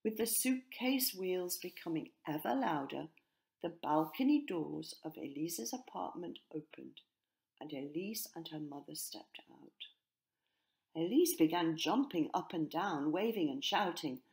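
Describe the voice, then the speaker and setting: A woman narrating a children's storybook expressively, in measured phrases that sometimes drop to hushed tones. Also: deep voice, lively intonation, creaky. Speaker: author narrating her own story.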